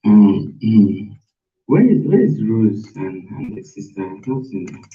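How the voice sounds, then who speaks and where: A man's voice: on the low side, sing-song, a little slow, echoey room. A man with a Nigerian accent playing a weary, downcast husband and father in a radio drama. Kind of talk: amateur radio drama acting. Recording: dull, distant recording.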